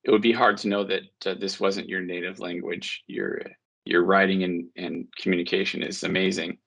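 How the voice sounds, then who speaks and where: Gravelly low-voiced male interviewer. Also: level tone, fairly bright tone. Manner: affirming, with frequent "uh" pauses. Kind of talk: friendly interview questions.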